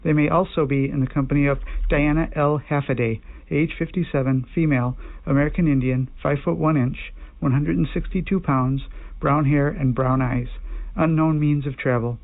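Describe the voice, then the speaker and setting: A synthetic-sounding man reading a formal scripted alert bulletin in a measured, impersonal way over tinny weather radio. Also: on the high side, steady tone, vocal fry. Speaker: automated emergency alert announcer. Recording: tinny band-limited weather radio broadcast.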